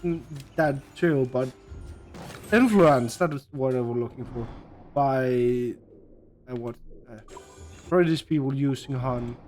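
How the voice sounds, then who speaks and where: A man's voice: medium pitch, takes it slowly, pauses often, a little mellow, faint music behind. A man chatting casually on a game stream, often groping for the right word. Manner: gropes for words, trailing off.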